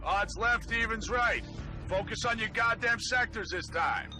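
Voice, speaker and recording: Barking, high-strained brutal drill instructor. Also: male voice, a little slow, pushed and bright, soft background music, exaggerated voice. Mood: barking, aggressive. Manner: barking orders, aggressive. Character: brutal profane drill instructor, adult man. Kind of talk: video game acting.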